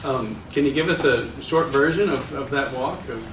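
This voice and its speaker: A man's voice: medium pitch, normal speed. Guy doing a casual interview, laughs a lot, um's and uh's, mic far away, noisy echoey room. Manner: friendly, laughs often, hesitant fillers. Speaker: interviewer, club member.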